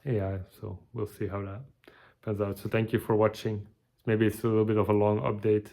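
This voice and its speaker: A tired man, giving a halting, casual video update with many restarts. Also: on the low side, little pitch movement, creaky, warm tone. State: tired, burned out. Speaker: vlogger.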